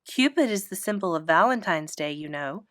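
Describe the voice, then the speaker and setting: A woman's voice: on the low side, animated, moderate pace, fairly bright tone, no echo, clean studio sound. A woman voicing a prissy, boastful little rich girl, haughty and gushing, in a children's storybook. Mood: smug, dreamy.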